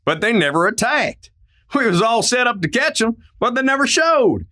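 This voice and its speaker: A man's voice: very high-pitched, very animated, normal speed, pushed and bright, heavily acted. A man playing a friendly, loyal Old West sheriff in a radio drama, with a folksy drawl full of frontier slang. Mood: puzzled, excitable. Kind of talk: old-time western radio play acting.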